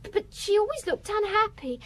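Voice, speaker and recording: An actress playing a plain-spoken young housemaid in a radio drama, earnest, gossipy and a little defiant. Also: female voice, very high voice, sing-song, a little fast. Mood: wistful.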